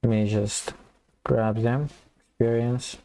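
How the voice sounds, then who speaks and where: A man giving a casual coding tutorial, thinking aloud and explaining step by step in an informal, halting way. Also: mid-range voice, little pitch movement, mellow, low-quality recording. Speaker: programming tutorial instructor.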